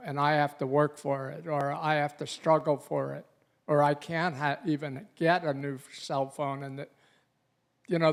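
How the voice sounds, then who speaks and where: A man from the congregation asking a question haltingly, with many fillers and restarts. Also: a little high. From Massachusetts.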